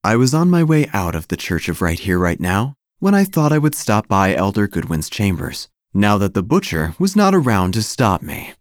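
An actor playing a melancholy, haunted obituary writer, narrating gothic horror in a solemn, literary manner. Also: male voice, lowish voice, big pitch swings, moderate pace, few pauses, no echo, studio quality. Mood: grave. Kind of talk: scripted horror audio drama narration.

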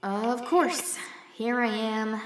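A woman's voice: on the high side, very slow, reverberant, noisy background. A woman playing a wry, nervy watchtower crew member in an audio drama, dry and sarcastic in naturalistic style. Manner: dry, sarcastic, hesitant under stress.

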